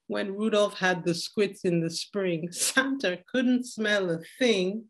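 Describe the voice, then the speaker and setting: A young woman reading a rhyming story aloud in an expressive storytelling style. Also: medium pitch, takes it slowly. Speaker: poem reader. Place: home room indoors.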